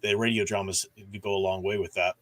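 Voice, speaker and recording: A man's voice: lowish voice, little pitch movement, brisk, fairly bright tone, no room sound. Man explaining things, close dry sound. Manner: explanatory, peppers talk with "you know". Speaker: host of a book discussion stream. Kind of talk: casual livestream discussion of comics and radio dramas.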